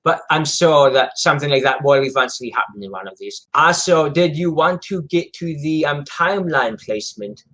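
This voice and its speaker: Male co-host, fairly high register, hesitant and repetitive, for casual fan discussion. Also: expressive, normal speed, hardly any pauses, fairly bright tone. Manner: hesitant, rambling, repeats himself. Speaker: co-host of a Doctor Who discussion show.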